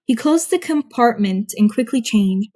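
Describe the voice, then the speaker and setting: A woman's voice: fairly high pitch, animated, normal speed, mellow. A woman narrating fan fiction as an amateur audiobook, reading informally with some stumbles over words. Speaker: podfic narrator.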